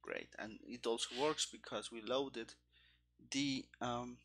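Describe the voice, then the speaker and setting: A man in his thirties talking through live coding in a halting, muttering way, into a headset. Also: medium pitch, steady tone, fairly bright tone. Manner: halting, muttering to himself while working. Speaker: programmer, host of coding stream. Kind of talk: live coding commentary, thinking aloud. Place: indoor room at a computer desk. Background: keyboard typing and clicking.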